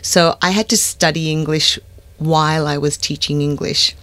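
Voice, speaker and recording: Australian woman, low and friendly. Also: fairly even intonation, normal speed, fairly bright tone, dry recording. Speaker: guest English teacher. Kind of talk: podcast interview.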